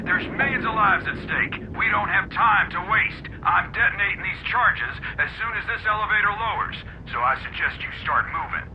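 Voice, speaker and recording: An actor playing a stern, dutiful, commanding navy captain in a video game. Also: male voice, high-pitched, lively intonation, moderate pace, hardly any pauses, muffled recording, noisy background. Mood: scornful, urgent. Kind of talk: video game cutscene acting. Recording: music behind the voice.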